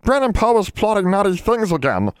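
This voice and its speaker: A man's voice: high voice, very animated, a little fast, smooth, no echo, studio quality, voice pushed up. A man voicing a timid, servile library elf in an audiobook, speaking eagerly in broken servant grammar. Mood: distressed, agitated. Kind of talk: audiobook character voice.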